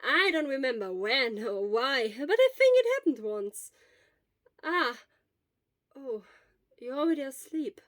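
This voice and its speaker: A woman putting on a loud, breezy young hero teacher. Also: high-pitched, very animated, unhurried, long pauses, no echo, studio quality, pitched above the performer's own voice, full character voice. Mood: nostalgic. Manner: raised bright casual voice.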